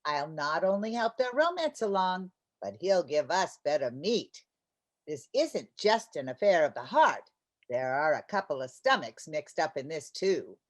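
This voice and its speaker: A meddling radio-comedy housewife acted by a woman, wry and emphatic. Her pitch moves up and down a great deal while she speaks at an unhurried pace, practical and quick to get exasperated. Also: sing-song, heavily acted. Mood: helpful. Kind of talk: old-time radio comedy acting.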